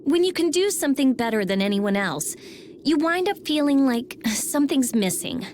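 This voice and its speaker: A woman's voice: sits high, very animated, moderate pace, a little mellow, slight background noise. A woman playing a blunt, prickly teenage girl genius in a video game, brusque and guarded. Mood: guarded, confiding. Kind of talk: English video game voice acting.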